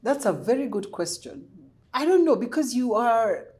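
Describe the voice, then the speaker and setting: A woman's voice: fairly high pitch, very animated, normal speed, a little mellow. A woman from Kenya hosting a podcast, chatty and playful as she asks questions. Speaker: podcast host. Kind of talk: casual interview.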